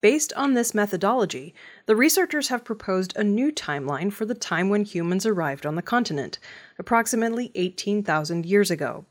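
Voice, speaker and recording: A woman's voice: mid-range voice, lively intonation, a little fast. A woman working as a news reader, delivering scripted news narration.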